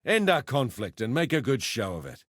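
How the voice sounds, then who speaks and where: A man's voice: mid-range voice, very animated, normal speed, fairly bright tone, husky, no echo, studio quality. A man voicing a roguish arena fighter and pitmaster in a video game, with cheeky, slangy, theatrical tough-guy talk. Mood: grave, resigned. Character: roguish adult male arena fighter and pitmaster turned syndicate member. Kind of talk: video game character voice lines.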